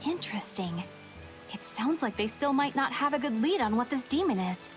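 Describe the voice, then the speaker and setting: A young female exorcist in a fantasy game, polite and dutiful. Her high voice sounds sincere and earnest, with music underneath. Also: expressive, moderate pace. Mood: polite, inquiring. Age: young adult. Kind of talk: video game voice acting. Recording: music behind.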